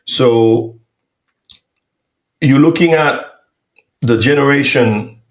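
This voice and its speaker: A man's voice: mid-range voice, drawn-out words. A man from Haiti hosting an interview, asking long, probing questions and often circling back. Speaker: host. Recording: roomy echo.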